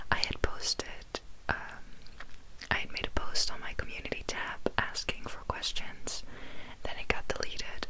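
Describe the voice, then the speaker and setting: A young adult woman from the Netherlands, a native Dutch speaker, whispering an ASMR chat from her bed, soft and meandering with many pauses and ums. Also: poor audio. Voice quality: full whisper, unvoiced. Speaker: ASMR YouTuber. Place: sitting on her bed indoors. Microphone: close mic, phone notes in hand.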